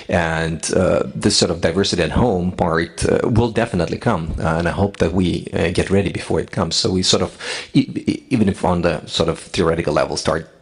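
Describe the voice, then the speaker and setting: A man from Lithuania speaks unscripted on a conference panel. His voice sits very low and gravelly with a husky edge. He hesitates often and restarts his sentences. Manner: hesitant, many fillers and restarts. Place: parliament conference hall.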